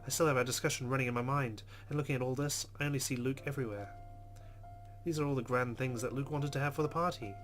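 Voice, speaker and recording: A man's voice: medium pitch, fast talker. Man reading game narration over music. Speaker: let's play host. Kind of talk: reading visual novel narration aloud with asides. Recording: music behind the voice.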